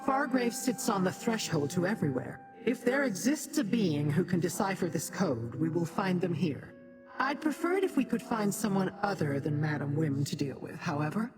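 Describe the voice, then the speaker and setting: Haughty immortal Daedra in deep reverb. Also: female voice. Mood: disdainful. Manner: formal, commanding, contemptuous. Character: haughty, imperious immortal female Daedra, disdainful of mortals. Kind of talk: video game character dialogue. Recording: long hall reverb, clear game music behind. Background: game music.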